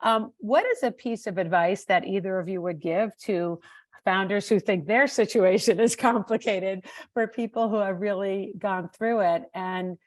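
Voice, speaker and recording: A woman's voice: medium pitch, normal speed, dry recording. Woman host asking careful questions, dry sound. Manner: reflective, long framing questions. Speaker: podcast host. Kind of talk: interview questions.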